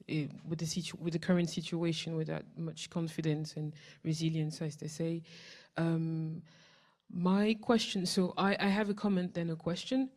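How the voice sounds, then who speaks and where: A woman's voice: deep voice, warm tone. A woman from France who has lived in the UK for years, asking a rambling question from the audience and laughing between phrases. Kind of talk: question from the floor.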